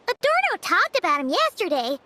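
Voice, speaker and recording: A woman voicing a small, excitable childlike fairy companion in a video game, with a squeaky voice and exclamatory manner. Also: brisk, exaggerated voice. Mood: indignant, startled. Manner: exclamatory, refers to self in third person. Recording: music behind.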